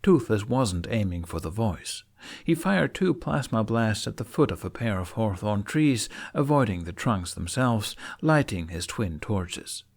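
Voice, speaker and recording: A man narrating a fantasy story in an expressive, theatrical audiobook style. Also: lowish voice, unhurried. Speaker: fan fiction narrator.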